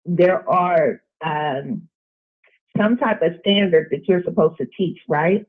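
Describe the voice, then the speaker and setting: A woman's voice: fairly low pitch, takes it slowly. A woman talking as a teacher in a discussion, making her points emphatically and repeating phrases. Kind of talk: opinionated discussion talk. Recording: tinny phone-like line.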